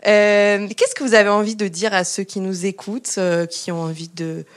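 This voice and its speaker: A woman's voice: mid-range voice, level tone, moderate pace. A woman hosting a podcast interview, asking questions with hesitations and restarts. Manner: hesitant, many fillers and restarts. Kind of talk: conversational interview.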